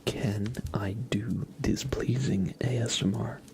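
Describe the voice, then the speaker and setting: A man doing a whispered ASMR livestream, chatting to viewers in a breathy, playful, teasing way with dry humor. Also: fairly low pitch, steady tone, slow, darkish tone, a little hiss or hum. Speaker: ASMR live streamer.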